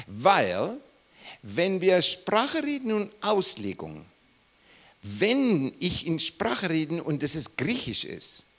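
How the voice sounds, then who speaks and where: Emphatic preacher man, swooping pitch. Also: a bit bright. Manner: emphatic, rising and falling sharply, repeats questions to listeners. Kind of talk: Bible teaching sermon.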